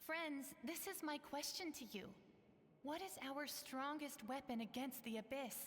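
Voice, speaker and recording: A woman playing a solemn, inspiring mentor who addresses her allies in a measured, formal way in a video game. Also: sits high. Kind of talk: video game character acting, speech. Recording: long reverberant hall, background music.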